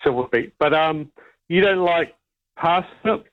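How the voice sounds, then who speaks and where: A New man calls in to talk radio, relaxed and wry in his chat. His voice sits a little high for a man. It comes through a tinny phone line. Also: a little slow. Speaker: caller. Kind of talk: casual radio call-in chat. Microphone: telephone.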